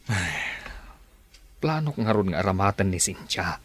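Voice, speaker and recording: A man's voice: low-pitched, big pitch swings, normal speed, a bit bright, slightly rough, full character voice. A man performing in a radio drama, in the style of a village comedy announcer and actor. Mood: scheming, animated. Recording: music behind the voice.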